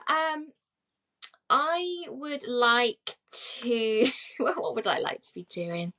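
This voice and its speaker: A woman's voice: fairly high pitch, very animated, extremely slow, sharp tone. A woman in her forties co-hosting a casual chat podcast, talking chattily with lots of fillers and frequent laughs. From England. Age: 40s. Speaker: podcast co-host.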